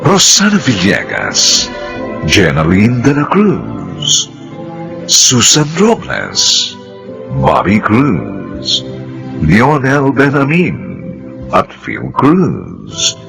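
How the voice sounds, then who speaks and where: Deep, gravelly male radio narrator. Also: wildly swooping pitch, over music. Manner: solemn, deliberate. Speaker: drama narrator and director. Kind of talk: scripted radio drama narration.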